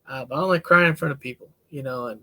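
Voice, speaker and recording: A man talking as a counselor in a casual, candid discussion, with a relaxed, folksy manner. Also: mid-range voice, fast talker. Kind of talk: casual podcast-style discussion.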